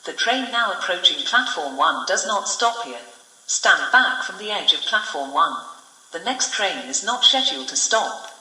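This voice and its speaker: An echoey, distant playback of a woman reading railway announcements. Her voice is piercingly bright and swings noticeably in pitch. The phrasing is formal and clipped, as in a scripted platform notice. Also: lively intonation, moderate pace, slight background noise. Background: played back through computer speakers.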